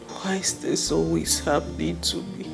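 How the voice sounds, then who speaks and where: A woman's voice: deep voice, fairly even intonation, vocal fry, music in the background. A woman, acting a heartbroken young woman whose boyfriend cheated on her, in a comedy skit. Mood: despairing. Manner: slow, mournful, self-pitying.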